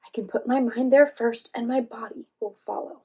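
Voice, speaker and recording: Casting: woman plays plucky young squirrel girl, earnest, determined, raised pitch, for storybook. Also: fairly even intonation, normal speed, darkish tone, breathy edge.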